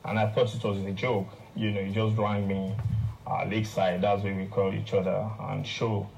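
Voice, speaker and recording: A man recounts his story in a recorded statement. His voice is low and mellow with a lot of gravelly creak, and there is faint noise on the recording. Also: little pitch movement, moderate pace, low-quality recording. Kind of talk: recorded personal account. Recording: played-back audio recording with faint noise.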